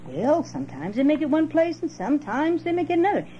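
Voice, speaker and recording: A woman's voice: sits high, big pitch swings, quick pace, warm tone, pitched above the performer's own voice. A woman voicing a cheerful, sly male trickster rabbit in a storybook, with folksy, persuasive dialect, on a home cassette recording. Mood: sly, confiding. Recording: dull, noisy home cassette with hum.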